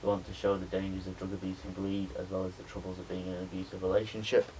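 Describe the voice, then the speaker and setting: A young adult man, a media student giving a spoken pitch presentation to a class. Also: completely flat delivery, fast talker. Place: indoor room with a small audience. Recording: noisy room recording.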